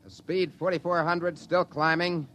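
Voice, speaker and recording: A man acting a daring, excitable test pilot in a 1950s radio drama, giving clipped cockpit reports. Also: a little high, a little slow. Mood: brisk, focused. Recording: noisy 1950s radio recording, engine drone.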